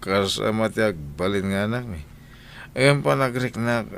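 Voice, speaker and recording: Low-voiced, earnest young man. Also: expressive, normal speed, vocal fry, fairly bright tone, smooth. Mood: torn, earnest. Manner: earnest, placating. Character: dutiful young man, obedient to his mother. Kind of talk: radio drama acting.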